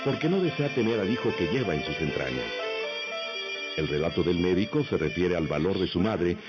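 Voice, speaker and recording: A man's voice: deep voice, big pitch swings, a little fast. A man reading scripted narration for a vintage radio drama, formal and solemn, on an old radio recording. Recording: muffled old radio recording, loud music. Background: loud music.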